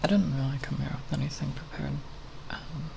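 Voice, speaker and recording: Soft asmr voice, super creaky n breathy, slow hesitant rambling, close mic w loud hiss. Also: mid-range voice, steady tone. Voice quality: soft, breathy, partly whispered. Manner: hesitant, halting, with long pauses. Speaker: ASMR video creator. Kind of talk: ASMR, unscripted nerdy ramble.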